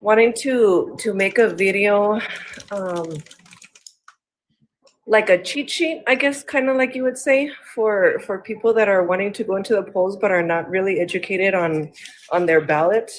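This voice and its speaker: An American woman with a slightly raspy, under-the-weather voice, chatting hesitantly as a livestream guest and repeating her phrases. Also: medium pitch, normal speed, slight background noise. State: recovering from sickness, throat-clearing. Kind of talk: casual political chat.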